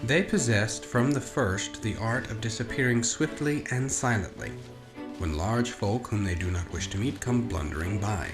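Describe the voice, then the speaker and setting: Male narrator, low register, expressive storybook reading, roomy echo with music underneath. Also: moderate pace. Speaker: audiobook narrator. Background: orchestral music.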